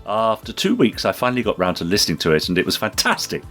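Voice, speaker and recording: A man's voice: fairly low pitch, sing-song, fast talker, a bit bright, faint music behind. A man co-hosting a podcast, chatting casually and reading out listener messages. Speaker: podcast co-host.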